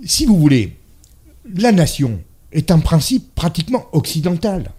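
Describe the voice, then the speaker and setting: A man's voice: on the high side, sing-song, unhurried, warm tone, husky. A man from France in a casual debate, emphatic and argumentative, readily agreeing with his partner. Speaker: debate guest.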